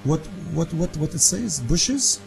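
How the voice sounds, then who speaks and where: A man whose first language is Arabic hosts a call-in debate, insistent and argumentative, speaking broken English and cutting in with quick repeats. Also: on the high side, big pitch swings, vocal fry, darkish tone, soft background music. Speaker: debate show host.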